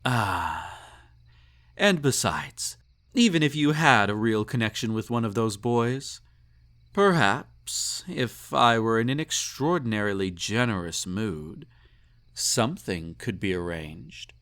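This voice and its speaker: Slow-talking, snobbish, possessive emperor, acted by a man. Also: on the low side, lively intonation, fairly bright tone, heavily acted. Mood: tipsy, teasing. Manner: pompous, condescending, teasing, ornate formal phrasing. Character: snobbish, possessive male emperor, indulgent and slightly tipsy. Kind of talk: intimate roleplay audio drama, second-person monologue.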